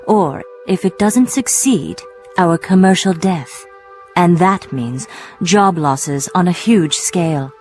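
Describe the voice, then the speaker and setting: An actress playing a businesslike tech company representative in a radio drama, polite, measured and firm. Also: female voice, mid-range voice, a little mellow, close to an everyday voice.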